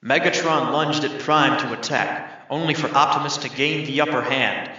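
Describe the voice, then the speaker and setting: A man narrates a dramatic story in a mid-pitched, bright and forceful voice. The reading is scripted, and the recording is heavily echoey and a little dull. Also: moderate pace. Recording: very echoey, slightly muffled.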